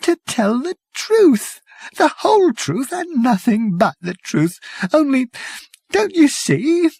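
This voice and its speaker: A man doing a hoarse, half-mad journalist. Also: high-pitched, very animated, measured pace, no echo, voice pushed up, exaggerated voice. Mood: weeping whisper. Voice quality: hoarse, whispery. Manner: whimpering, jittery, breaks into weeping. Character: half-mad, tearful male journalist, raving. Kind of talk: audiobook character voice.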